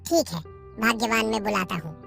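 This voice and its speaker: An actor voicing an excitable, concerned crow husband in a children's cartoon. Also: medium pitch, fairly quick, gravelly, soft background music. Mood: agreeable. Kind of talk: Hindi children's cartoon story dubbing.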